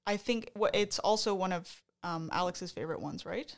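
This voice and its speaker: A woman's voice: lowish voice, normal speed, creaky. A woman co-hosting a podcast, chatting casually about a show with a playful, dryly teasing manner. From Canada. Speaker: podcast co-host. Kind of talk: casual chat, recounting a concert.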